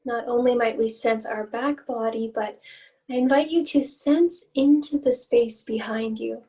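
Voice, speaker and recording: A woman leading a guided meditation as an instructor, with gentle, inviting phrasing and long pauses, recorded at some distance from the mic. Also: on the high side, fairly even intonation.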